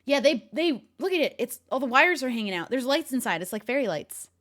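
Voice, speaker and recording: A woman's voice: high voice, brisk. A woman streamer giving casual reaction commentary, laughing often as she talks. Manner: giggly, animated, talks to a pet.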